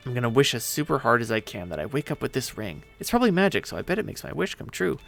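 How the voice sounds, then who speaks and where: Man doing a whiny, bickering young guy, swooping pitch. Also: sing-song, fast, creaky, faint music behind. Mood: petulant squabbling.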